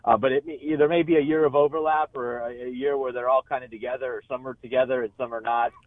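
A man giving sports analysis as a guest in a live radio interview, speaking haltingly with repeated words and frequent filler phrases. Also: mid-range voice, flat delivery, no room sound. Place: remote call into a radio show. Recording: muffled, band-limited call-in line.

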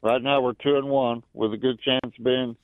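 A man's voice: medium pitch, flat delivery, normal speed, no echo. An older man with an American accent calling a sports talk show by telephone to chat casually. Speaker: phone-in caller. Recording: thin muffled phone line.

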